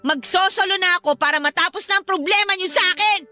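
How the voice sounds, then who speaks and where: Bright, high-pitched defensive younger sister. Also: female voice, quick pace, faint music behind. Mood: exasperated, hurt. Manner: defensive, exasperated. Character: defensive, stubborn student younger sister, wounded and proud. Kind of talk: Tagalog radio drama acting.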